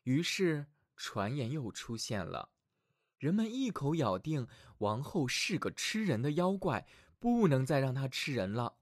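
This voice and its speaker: Animated male fairy-tale storyteller. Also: mid-range voice, a little slow, no room sound, clean studio sound. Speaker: children's storyteller, show host. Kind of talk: expressive scripted fairy-tale narration.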